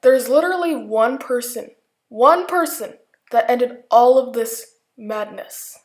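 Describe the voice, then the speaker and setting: Slow-talking woman in an echoey room. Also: on the high side, expressive. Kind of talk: casual informal storytelling.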